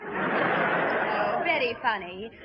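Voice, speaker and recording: A woman playing a sensible, exasperated young older sister, brisk and scolding, in a 1960s radio sitcom. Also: medium pitch, animated, a crowd in the background. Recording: noisy, muffled old radio recording.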